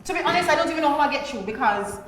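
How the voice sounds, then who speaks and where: A Jamaican woman with a fairly high voice talks casually and expressively, slipping into patois as she tells stories. The room rings around her, with faint noise behind. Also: racing. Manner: animated, expressive patois storytelling. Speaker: stage actor, podcast guest. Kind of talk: casual group chat, storytelling. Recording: roomy echoing space, faint background noise.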